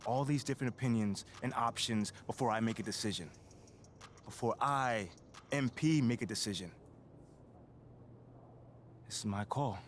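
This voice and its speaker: An actor plays a proud young male basketball star in a video game cutscene. He speaks in an emphatic, slangy way at a mid pitch. Faint noise sits behind him. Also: moderate pace, pauses often, natural voice. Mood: defiant.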